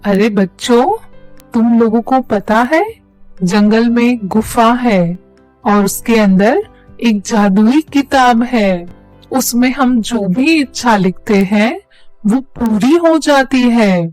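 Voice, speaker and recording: A woman acts out a stern, mysterious storybook woman with a fairly high voice. She speaks slowly and deliberately in a dry recording over music. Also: a little mellow, dry recording. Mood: coaxing. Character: stern mother and mysterious women in a children's fable. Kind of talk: scripted children's story acting.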